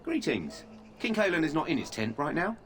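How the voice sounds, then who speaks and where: A polite, over-talkative royal guard. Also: male voice, fairly high pitch, big pitch swings, normal speed, a little hiss or hum. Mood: courteous. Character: loose-lipped male royal guard.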